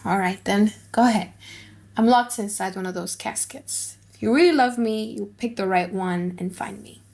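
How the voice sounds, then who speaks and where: A woman voicing a lovestruck, anxious young heiress in an audiobook play, with halting, playful delivery and small sighs and giggles. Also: medium pitch, creaky, darkish tone, lightly acted. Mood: resolute, wistful.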